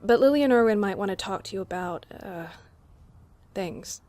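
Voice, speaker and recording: A woman's voice: mid-range voice, animated, moderate pace, a little mellow, dry recording, close to an everyday voice. Busy, considerate young woman medic, played by an actress. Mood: casual, apologetic. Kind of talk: amateur audio drama acting.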